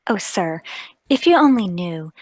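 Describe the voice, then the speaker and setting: A woman's voice: on the low side, big pitch swings, a little slow, a little mellow, no room sound, studio quality, pitched below the performer's own voice. A woman playing a nervous, deferential young waitress in a radio mystery drama, speaking hesitantly. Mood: anxious, frightened.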